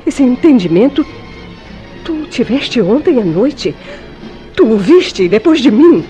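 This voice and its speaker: A woman's voice: on the high side, big pitch swings, slow pace, dark tone, soft background music. A woman acting a delicate, anguished lover in a radio soap opera, theatrical and formal, pleading and insistent. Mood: accusing.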